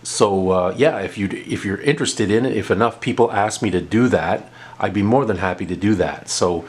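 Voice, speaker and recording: A man's voice: fairly low pitch, normal speed, husky. A man hosting a gadget review, talking casually and unscripted, explaining things and often backtracking or repeating himself. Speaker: host of a gadget review channel. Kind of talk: casual, unscripted product demonstration.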